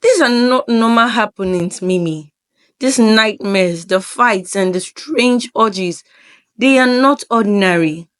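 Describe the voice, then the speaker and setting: A woman's voice: mid-range voice, slow pace, smooth, natural voice. A woman voicing a worried, protective older mother in a folktale, grave and quivering.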